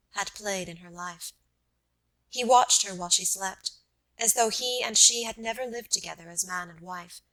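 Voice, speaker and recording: A woman reading literary fiction as an audiobook narrator, measured and precise in her phrasing. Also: mid-range voice, pushed and bright, poor audio.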